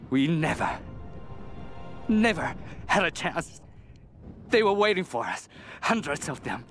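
An actor playing a terrified, doomed Japanese soldier in a video game, speaking in an anguished, halting way. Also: male voice, a little high, big pitch swings, music in the background. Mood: despairing, pleading. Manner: halting, haunted, anguished delivery. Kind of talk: dramatic video game diary narration.

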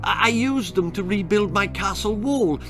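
A man's voice: high voice, expressive, normal speed, a bit bright, soft background music, pitched above the performer's own voice. A man voicing a small, frightened female fairy who trembles and stammers in a storybook. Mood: trembling.

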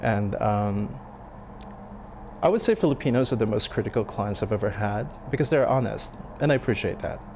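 A man in his thirties, a restaurant chef, speaking in a sit-down interview, thoughtful and halting with many fillers and restarts. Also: on the low side, lively intonation, darkish tone, a little hiss or hum. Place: dim restaurant dining room. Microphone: lapel mic.

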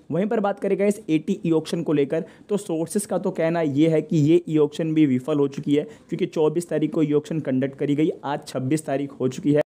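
A man's voice: a little high, dark tone. A man hosting a news update, narrating informally and speaking directly to viewers in a conversational way. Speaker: host of a TV channel news update show.

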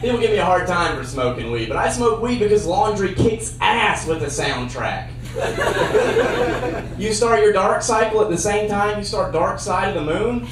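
A young man doing a stand-up comedy set with a handheld mic on a theater stage, trading dry one-liners for sudden loud bursts. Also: sits high, animated, normal speed, very noisy. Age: young adult. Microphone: handheld mic on a cord. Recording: roomy sound from a far camera.

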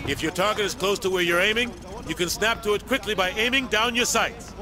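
An actor playing a brash, no-nonsense American army sergeant, giving blunt, commanding instructions to a group in a military game. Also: male voice, high-pitched, brisk, heavy background noise, close to an everyday voice. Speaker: drill instructor. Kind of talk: military game tutorial dialogue.

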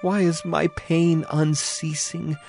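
A man's voice: fairly high pitch, level tone, takes it slowly, creaky, a little mellow. A man playing a sorrowful, earnest prophet in a dramatized scripture reading, solemn and declamatory. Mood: anguished pleading. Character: Jeremiah, sorrowful, earnest male Hebrew prophet. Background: music bed.

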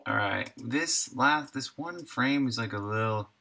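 A man's voice: medium pitch, a little slow, vocal fry, pushed and bright. A man in his thirties, a game developer thinking aloud casually on a live stream while coding, muttering to himself in short fragments at his desk. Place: small room indoors, at a computer desk.

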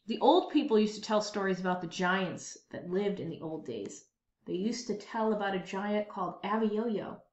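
A woman reading a children's story aloud with expressive, dramatic, emphatic phrasing. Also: medium pitch, normal speed. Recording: dull lo-fi, roomy echo.

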